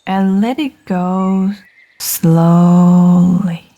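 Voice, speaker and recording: Female meditation guide, lowish and soft-toned, extremely slow delivery, gentle and soothing. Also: level tone, highs cut off. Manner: gentle, soothing, unhurried with long pauses between short phrases.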